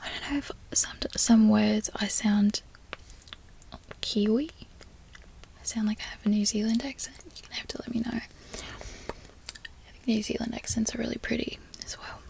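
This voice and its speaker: A woman with an Australian accent, an ASMR creator softly whispering repeated trigger words. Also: on the high side, steady tone, normal speed, creaky, long pauses, poor audio.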